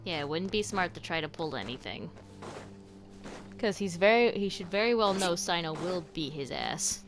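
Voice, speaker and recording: A woman with a slightly low voice gives casual let's-play commentary as a game streamer. She reacts off the cuff, with game music clearly audible behind her. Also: lively intonation, normal speed. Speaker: gaming streamer.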